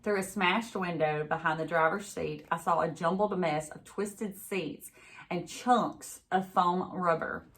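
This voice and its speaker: Woman, slightly low voice, expressive read-aloud, teacherly, talks to young listeners. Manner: pauses to chat with young listeners. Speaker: teacher reading aloud to a class.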